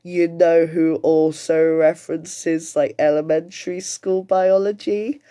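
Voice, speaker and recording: A man's voice: a little high, little pitch movement, takes it slowly, dark tone. A British man in his late twenties giving casual, emphatic, sweary reaction commentary. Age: late 20s. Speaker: commentator reacting to another person's video.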